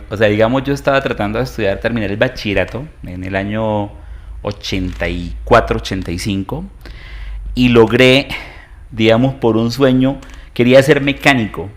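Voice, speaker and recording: Colombian man in his fifties, low-pitched, hesitant guest full of fillers. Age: 50s. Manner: hesitant, many fillers, storytelling. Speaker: guest, entrepreneur. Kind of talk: interview.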